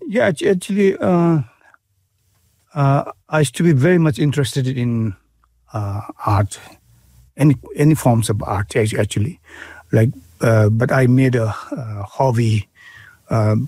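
A middle-aged man with a Bhutanese accent, speaking in an interview with many pauses, fillers and restarts. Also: mid-range voice, warm tone, husky, no room sound. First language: Nepali. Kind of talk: casual interview.

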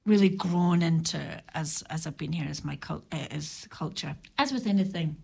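Middle-aged woman, radio guest: low, gravelly voice, thoughtful and halting. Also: darkish tone. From Ireland or Scotland. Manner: reflective, halting with restarts. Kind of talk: casual radio chat. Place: radio studio.

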